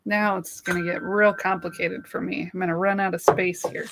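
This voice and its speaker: A woman's voice: fairly low pitch, little pitch movement, moderate pace, vocal fry, noisy background. A woman co-hosting a casual livestream, chatty and giggly, thanking viewers as she goes, her voice starting to give out. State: losing her voice. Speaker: livestream co-host.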